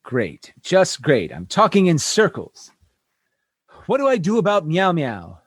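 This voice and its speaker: Exasperated, tactless male space captain, played by a man for radio comedy. High voice with big swoops, blustering and pleading. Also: wildly swooping pitch, a little slow, slightly rough, exaggerated voice. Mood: frustrated. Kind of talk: sci-fi comedy radio acting.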